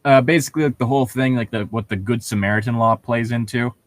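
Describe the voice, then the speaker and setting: A man's voice: mid-range voice, steady tone, fast talker, no room sound. A man in a casual, opinionated chat, talking in a rambling, sweary way.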